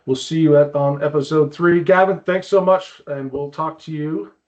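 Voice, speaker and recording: A man hosting a podcast in a casual, chatty style with halting, informal phrasing. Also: fairly high pitch, steady tone, a little mellow. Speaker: podcast host, climbing wall builder. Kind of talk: casual chat. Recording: muffled, dull lo-fi audio.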